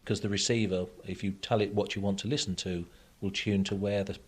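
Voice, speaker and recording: A man explains technical matters as an interview guest. His voice is fairly low, and his delivery is measured and matter-of-fact. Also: fairly even intonation, husky. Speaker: radio technology expert guest.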